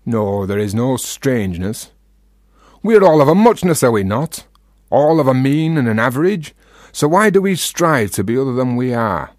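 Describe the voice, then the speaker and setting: An actor playing a flattering, teasing male companion in a Victorian household, with florid, archaic phrasing, in a period radio drama. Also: mid-range voice, moderate pace, dry recording, clean studio sound, close to an everyday voice. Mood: playfully courtly.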